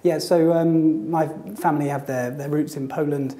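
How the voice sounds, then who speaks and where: Slightly gravelly man, hoarse. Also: on the high side, steady tone, normal speed, dark tone. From England. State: hoarse from a lingering virus. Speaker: guest, historian and blog co-editor. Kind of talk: casual interview answers. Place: temporary studio in an office building.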